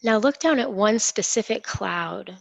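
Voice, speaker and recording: A woman leading a guided imagery meditation in a soothing, unhurried, reassuring manner. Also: medium pitch, lively intonation, creaky, dry recording. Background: gentle instrumental music.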